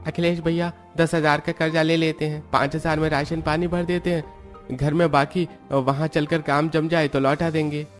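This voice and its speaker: A man's voice: fairly high pitch, level tone, normal speed, soft background music, close to an everyday voice. A man playing a hard-up, testy but caring village labourer in a cartoon story, earnest and defensive.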